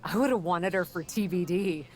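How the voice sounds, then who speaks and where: An actress playing a cocky, dry-witted pro wrestler in a video game cutscene, with a wry, confident delivery. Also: female voice, lowish voice, normal speed, background noise, natural voice. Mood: earnest, determined.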